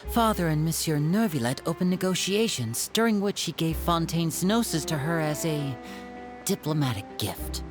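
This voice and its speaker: Young male game magician: suave, courteous, animated melody in the voice. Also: sits low, normal speed. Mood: polite, informative. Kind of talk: video game voice acting. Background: music.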